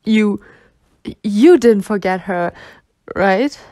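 A woman's voice: mid-range voice, lively intonation, drawn-out words, warm tone, no room sound, studio quality. A woman acting a protective, exasperated, foul-mouthed twin sister who is tender with her girlfriend, in an ASMR roleplay. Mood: puzzled. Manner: sweary, sighing, half-muttering. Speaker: roleplay voice actor.

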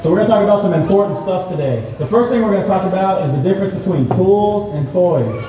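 A man performs a friendly Dalmatian puppet hosting a live fire-safety show for kids. The voice is fairly high and instructive, full of questions, heard from far off in a very echoey mall. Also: expressive, fast talker, crowd noise. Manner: instructive, asks the children questions. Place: shopping mall puppet stage.

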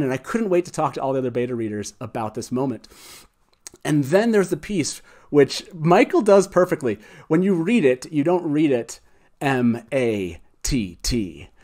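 A man's voice: mid-range voice, darkish tone. An enthusiastic, effusive man in his forties hosting a casual livestream chat, speaking into a close studio mic. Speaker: show host. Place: small wood-panelled studio indoors. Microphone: close studio mic on boom arm.

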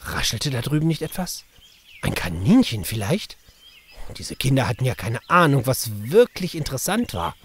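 A man's voice: medium pitch, big pitch swings, normal speed, a bit bright, slight background noise. A man narrating a children's audio drama in a scripted storytelling style.

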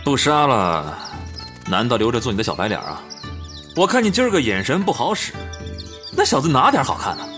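A man's voice: mid-range voice, very animated, a little fast, a bit bright, soft background music. A man playing a coarse, jealous, hot-tempered young bandit chief in a Chinese period audio drama, with a sneering, swaggering manner.

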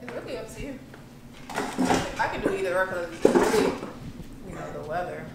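A woman's voice: medium pitch, lively intonation, moderate pace, gravelly. An American woman chatting casually as the companion of a vlogger. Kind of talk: casual chat. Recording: noisy location sound, off-mic.

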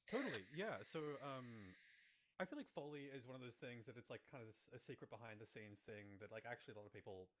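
A man's voice: mid-range voice, animated, quick pace, fairly bright tone. A man in his thirties explaining things casually and loosely as a guest, with lots of "like" and "sort of," on a studio microphone. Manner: loose, filler-heavy, frequent "like" and "sort of". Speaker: Foley artist, guest. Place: small room indoors, video call. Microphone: studio microphone on boom arm, headphones.

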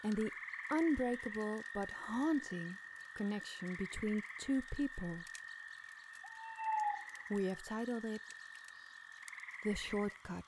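A woman's voice: medium pitch, slow pace, pauses often, very noisy. A woman reading a scripted scary campfire story as a podcast storyteller.

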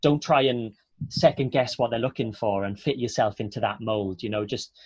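Young Welsh actor, easygoing chatter with lots of "um" and "like," a dry, slightly muffled video-call sound. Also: male voice, medium pitch, normal speed, a little mellow, breathy edge, no room sound. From Wales. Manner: chatty, many fillers and restarts. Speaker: actor, guest speaker. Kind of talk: casual video-call interview. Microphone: earphone mic on a video call.

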